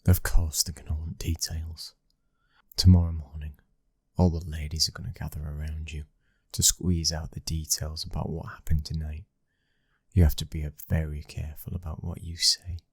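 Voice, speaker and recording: Very deep, husky British man acting as mafia heir. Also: no room sound, heavily acted. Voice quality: husky, breathy, often dropping toward a whisper. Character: commanding young male mafia heir, controlled and protective. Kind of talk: ASMR boyfriend roleplay, scripted acting.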